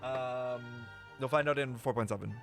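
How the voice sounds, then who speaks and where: A man's voice: medium pitch, normal speed, gravelly, fairly bright tone, faint music behind. A man chatting casually on a game stream in a slangy, self-deprecating, talkative way. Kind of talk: casual live stream chat reacting to a game.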